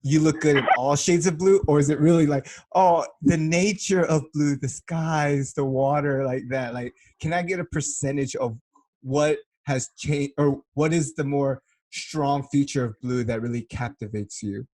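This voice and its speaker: A man's voice: fairly high pitch, normal speed, a little mellow. A man hosting a podcast in a casual, chatty interview style, with a teasing, joking manner. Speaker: podcast host.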